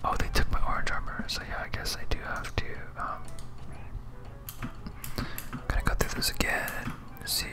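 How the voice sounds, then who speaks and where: An ASMR game streamer speaks in an unvoiced whisper. The delivery is casual, like muttering to oneself while playing, and music plays clearly behind it. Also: normal speed, long pauses. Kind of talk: whispered ASMR gameplay commentary.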